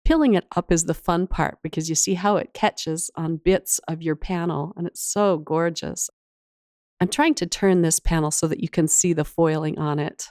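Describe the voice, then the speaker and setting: Female craft-tutorial host, lowish voice slightly off from a cold, casual and enthusiastic, very dry voice-over sound. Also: darkish tone, dry recording.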